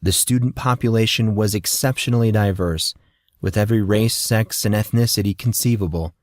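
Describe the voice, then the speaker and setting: A man's voice: lowish voice, moderate pace, no room sound, studio quality. A man reading scripted fiction as an audiobook narrator.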